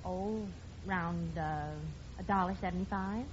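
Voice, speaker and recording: A woman's voice: lowish voice, a little slow, lightly acted. A woman playing a modest, flustered, polite housewife in 1950s radio sitcom style. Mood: hesitant. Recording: muffled, hissy old radio broadcast.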